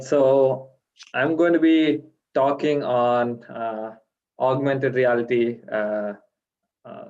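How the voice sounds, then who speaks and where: A man's voice: medium pitch, a little mellow. Man giving a hesitant online talk. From India. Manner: hesitant, many fillers and restarts. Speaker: guest speaker. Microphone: video call. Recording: dull lo-fi video call audio.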